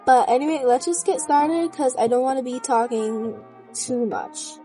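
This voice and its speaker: A young woman with a very high, girlish pitch talks through a tutorial in a casual way. Music plays behind her voice-over. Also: a little mellow. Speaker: video creator and host. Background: background music.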